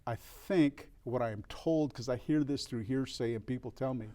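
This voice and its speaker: An older man from El Paso talks as a guest in an interview. He speaks in a reflective, earnest way, restarting and pausing often as he works through his thoughts. His voice sits at an ordinary middle pitch. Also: mellow. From El Paso, Texas. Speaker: guest, record store owner. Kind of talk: candid interview conversation.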